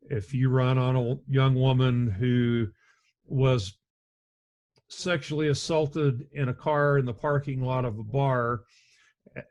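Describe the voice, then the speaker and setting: A middle-aged man with a deep voice teaching an EMS lecture over a video call, speaking in a measured, conversational way with frequent restarts. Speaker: EMS instructor. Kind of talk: recorded slide lecture. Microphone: webcam and computer mic on a video call.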